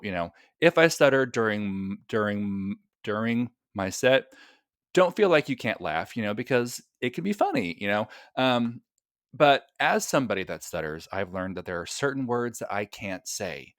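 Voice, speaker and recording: A man's voice: lowish voice, fairly bright tone, no echo, studio quality. A man who is a comedian and podcast guest, speaking reflectively with a light stutter. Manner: reflective, light stutter, frequent agreeing backchannels. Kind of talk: casual podcast interview.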